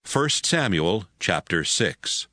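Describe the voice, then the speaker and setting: A man's voice: low-pitched, sing-song, takes it slowly, sharp tone. A man narrating a dramatized audiobook as a scripted storyteller.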